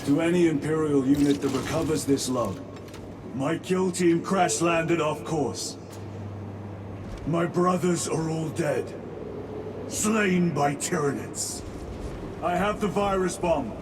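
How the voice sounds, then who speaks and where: An actor playing a battle-hardened space marine squad leader in a video game, grim and devout, giving solemn, clipped military orders. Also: male voice, a little high, slow, pauses often, noisy background. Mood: grim, resolute. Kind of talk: cinematic video game voice acting.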